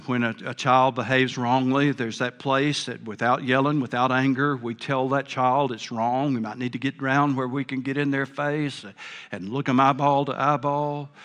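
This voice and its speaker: A man's voice: mid-range voice, a bit bright. An older man preaching a sermon, earnest and folksy, with a big voice. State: a bit hard of hearing. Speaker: pastor.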